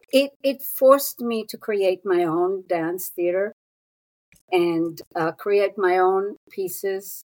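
A woman in her sixties, raised in Israel, talks through her story as an interview guest. She speaks very slowly and thoughtfully, with a fairly low voice and frequent pauses. Her delivery stays reflective and measured. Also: low-quality recording. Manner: unhurried, thoughtful, with pauses and fillers. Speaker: guest, psychotherapist.

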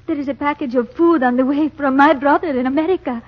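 On a muffled 1940s radio recording, a desperate mother pleads. The actress uses a very high, tremulous voice with earnest melodramatic weight. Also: fast talker, lightly acted. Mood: desperate hope. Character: desperate, pleading young Greek mother of a dying child.

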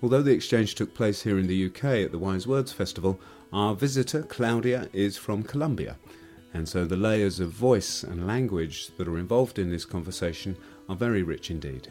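A man's voice: low-pitched, expressive, moderate pace, hardly any pauses, darkish tone, faint music behind. A man hosting a podcast, introducing a guest's conversation as scripted narration. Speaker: podcast host and interviewer. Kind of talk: podcast introduction and gentle interviewing.

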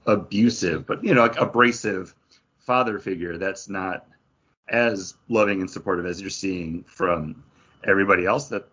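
A man's voice: sits low, a bit bright, husky, lo-fi sound. A man co-hosting a podcast, chatting casually and enthusiastically as he reviews, sometimes slipping into imagined quotes. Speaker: podcast co-host reviewing comics.

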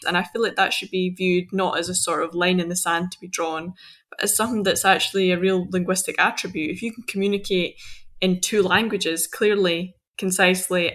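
A woman's voice: lowish voice, a bit bright. A woman with a Scottish accent, a poet and guest in a podcast interview, arguing her point fluently and earnestly.

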